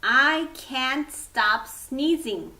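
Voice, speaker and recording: A woman teacher saying short English sentences as models for learners, with careful, deliberate enunciation. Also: high-pitched, animated, sharp tone, roomy sound.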